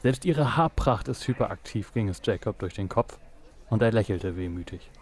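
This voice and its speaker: Erzähler mit eher tiefer Männerstimme. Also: male voice, fairly low pitch, animated, moderate pace, a little mellow, breathy edge. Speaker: narrator. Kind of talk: scripted noir audio drama narration.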